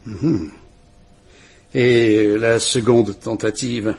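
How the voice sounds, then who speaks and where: An actor playing a patient, courteous police inspector who speaks in a calm, dry way. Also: male voice, fairly low pitch, drawn-out words, slightly rough, full character voice. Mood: courteous, probing. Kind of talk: 1950s French radio drama acting.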